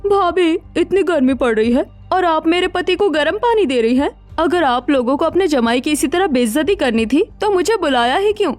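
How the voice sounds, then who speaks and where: A woman's voice: high-pitched, animated, normal speed, few pauses, faint music behind. A woman voicing a haughty, nagging young sister-in-law in a cartoon, accusing and entitled. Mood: offended.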